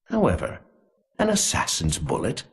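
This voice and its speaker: An actor playing a sinister, coldly amused conspiracy leader in a video game, narrating in a measured, theatrical way and savoring his words. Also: low-pitched, wildly swooping pitch, creaky, breathy edge, exaggerated voice. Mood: cold, menacing. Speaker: villain narrator.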